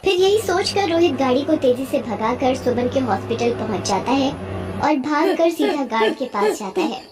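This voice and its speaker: A woman's voice: high-pitched, lively intonation, moderate pace. A woman storyteller reading scripted narration for a cartoon story.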